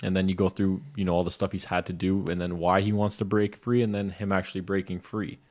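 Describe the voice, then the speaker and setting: A man with a low-pitched voice talks casually as a podcast co-host. He explains things in a loose, rambling way, as if thinking aloud among friends. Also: level tone, fast, darkish tone. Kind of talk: casual chat about video game movies.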